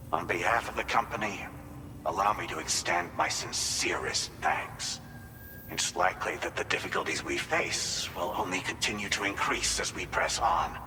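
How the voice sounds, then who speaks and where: An actor playing a composed company representative in a sci-fi game, speaking formally and courteously with assurance. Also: male voice, low-pitched, in a large hall, noisy background, lightly acted. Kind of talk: video game cutscene dialogue.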